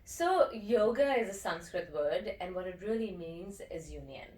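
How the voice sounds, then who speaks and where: A woman's voice: mid-range voice, expressive, unhurried, darkish tone, echoey room, distant mic. A woman from India, a yoga and breathwork teacher, explaining her practice eagerly as an interview guest. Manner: enthusiastic, explanatory, often checks in with "okay?".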